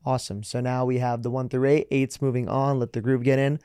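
A young man hosts a casual music interview, upbeat and talkative, leaning on "like" as he goes. His tone is mellow and warm. The recording is dry and close to the mic. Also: mid-range voice, flat delivery, fairly quick, clear, resonant tone, no echo. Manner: upbeat, chatty, many likes. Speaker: podcast host.

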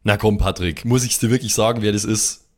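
A man's voice: fairly low pitch, a little fast. A man bantering casually and teasingly in an improvised tabletop role-play show.

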